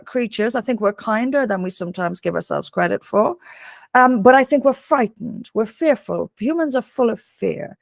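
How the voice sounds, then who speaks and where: A woman's voice: a little high, gravelly, no room sound, studio quality. A woman from Dublin with an Irish accent, speaking earnestly as a guest author in an interview, restarting and repeating words as she presses her points. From Ireland. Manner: emphatic, repeats words when building a point.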